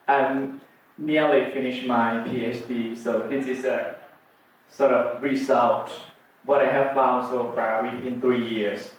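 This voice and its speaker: Slow, hesitant Thai man presenting, echoey. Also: mid-range voice. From Thailand. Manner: hesitant, many ums and restarts. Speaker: doctoral student presenter. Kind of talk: academic presentation. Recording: roomy echo from far off.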